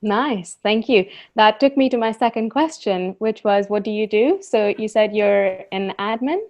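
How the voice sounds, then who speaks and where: A woman's voice: medium pitch, measured pace. Woman hosting a webinar, full of ums. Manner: warm welcoming, many ums and restarts. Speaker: host and volunteer. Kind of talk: casual webinar chat. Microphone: computer mic on video call. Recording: dull lo-fi webinar audio.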